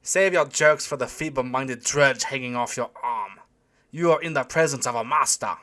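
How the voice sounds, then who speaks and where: Storybook German impresario: irritable, self-important, heavy accent, high-pitched bark. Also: male voice, expressive, fast talker. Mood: scornful. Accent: thick German. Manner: barking, imperious, shouting. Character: rotund bald German showman, irritable and pompous.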